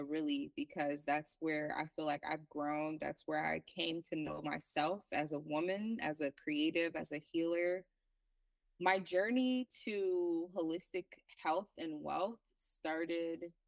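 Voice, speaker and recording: A woman from Liberia speaks low and unhurried, telling her story as an herbalist guest in long, reflective, winding sentences. Her voice is dry and sits a little back from the microphone. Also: no room sound, far from the mic, poor audio. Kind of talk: conversational interview answers.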